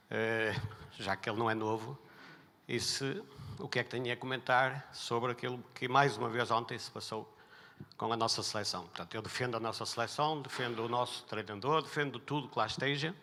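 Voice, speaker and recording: A man's voice: medium pitch, pushed and bright, big-room sound. A man, an audience member putting a formal, hesitant question to a panel. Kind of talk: question from the floor.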